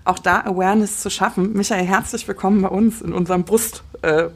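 A woman's voice: medium pitch, steady tone, a little fast, slightly rough. A woman co-hosting a podcast, talking in a friendly, hesitant way with many fillers. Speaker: podcast co-host. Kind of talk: casual podcast interview.

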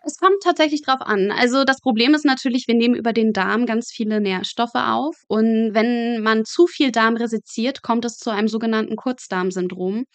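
A woman's voice: a little high, normal speed, hardly any pauses, dry recording. A young woman explaining things as a podcast guest in a casual, matter-of-fact way with dry humour. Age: young adult. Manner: matter-of-fact, colloquial, drily humorous. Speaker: podcast guest, medical student working in pathology.

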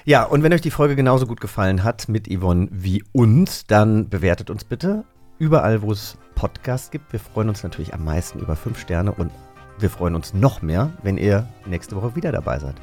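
A man's voice: lowish voice, very animated, normal speed, darkish tone, soft background music. A man co-hosting a podcast in casual, enthusiastic chat. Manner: chatty, enthusiastic. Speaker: podcast co-host.

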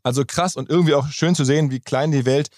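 A man's voice: a little high, steady tone, quick pace, darkish tone, dry recording, studio quality. A man hosting a podcast, speaking in a chatty, informal way. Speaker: podcast host. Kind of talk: casual podcast talk and interview, with host-read ad.

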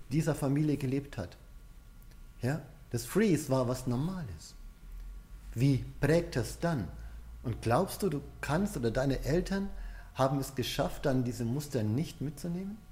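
A man's voice: medium pitch, warm tone. A man giving an explanatory coaching lecture in an informal, conversational way, addressing the listener directly.

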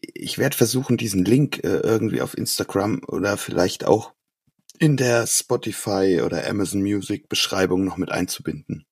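Man, podcast host, lowish pitch, rough husky tone, casual music chat, very dry sound. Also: moderate pace, dry recording.

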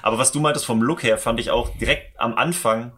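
A man's voice: medium pitch, a little fast, sharp tone. A man co-hosting a podcast, chatting casually and enthusiastically in colloquial speech. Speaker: podcast co-host. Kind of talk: casual chat about games and comics.

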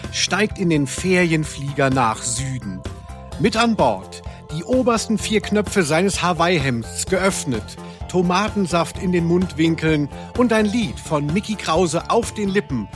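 A man co-hosting a casual, jokey podcast, talking in a wordy, self-mocking, rambling way. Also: on the high side. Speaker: podcast co-host. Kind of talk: casual, jokey podcast chat about audio dramas.

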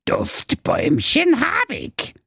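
A man doing a squeaky grumpy evil dwarf. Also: wildly swooping pitch, unhurried, gravelly, slightly rough, no echo, clean studio sound, heavily acted. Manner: Berlin slang, grumbling, high squeaky. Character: grumpy sly evil gas-station dwarf.

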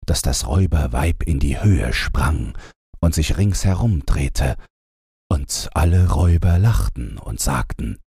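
Deep, husky male storyteller. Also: expressive, a little slow, mellow, no echo, studio quality. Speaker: audiobook narrator. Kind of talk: scripted fairy tale narration, bedtime storytelling.

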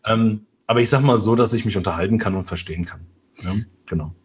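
A man's voice: fairly low pitch, fast talker. A man from Germany, a guest in a casual interview, talking hesitantly with many fillers and tag questions.

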